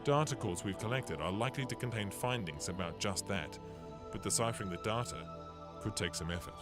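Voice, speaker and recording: A man voicing a young male ship captain who narrates a game's story in an earnest, steady way, with game music behind. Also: on the low side, fairly quick, natural voice.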